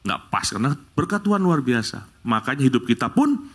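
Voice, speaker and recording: A middle-aged man from Bali leads a church prayer, then preaches a sermon to the congregation. His talk is lively, rising to loud exclamations and jokes with the congregation. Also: mid-range voice, brisk, husky. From Bali, Indonesia. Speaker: guest preacher. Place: church service.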